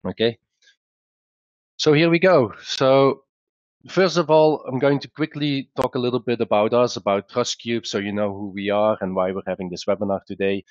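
A man's voice: medium pitch, fairly even intonation, moderate pace, no echo, lo-fi sound. Belgian man presenting a webinar. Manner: explanatory, conversational, with frequent "uh" and restarts. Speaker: company founder presenting a webinar.

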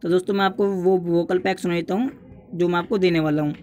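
A man's voice: high-pitched, fairly even intonation, fast, darkish tone. A young man narrating a casual tutorial, repeatedly urging and addressing his viewers as friends. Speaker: tutorial YouTuber.

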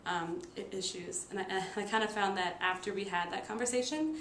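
A woman's voice: medium pitch, a bit bright. Young woman with a pronounced stutter. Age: 20s. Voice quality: pronounced stutter with repeated sounds and blocks. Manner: halting, with frequent fillers. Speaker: nursing student and stuttering therapy client. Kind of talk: casual personal storytelling to camera. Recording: roomy echo with noticeable background noise.

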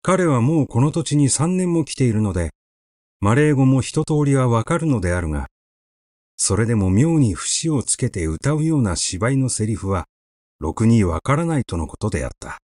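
A man reading a literary short story aloud as an audiobook narrator, with measured, expressive storytelling. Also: mid-range voice, dry recording, clean studio sound.

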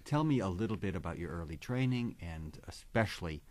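A man hosting a radio music program, interviewing a composer and listening attentively with soft affirmations. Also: low-pitched, lively intonation, fairly quick. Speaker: radio host.

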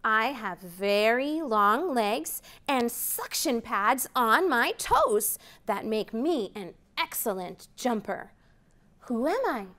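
A woman in her thirties reads a picture book to young children, her voice high and swooping with wide leaps in pitch. She takes her time, speaking slowly and clearly, with excited rising counts. She is recorded in a library room by a camera at mid distance. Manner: animated storytime reading with rising counts. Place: library program room, indoors.

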